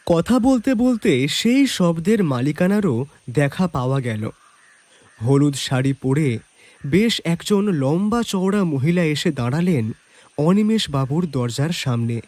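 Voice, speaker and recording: A man narrating a detective story as the detective's companion, in an expressive dramatised scripted style. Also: a little high, unhurried, dry recording. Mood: reflective, atmospheric.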